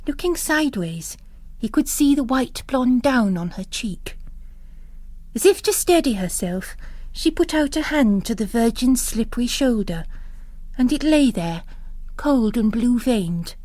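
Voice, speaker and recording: A woman's voice: a little high, expressive, a little slow, warm tone, dry recording. A woman reading literary fiction as a narrator, in a dramatic audiobook style.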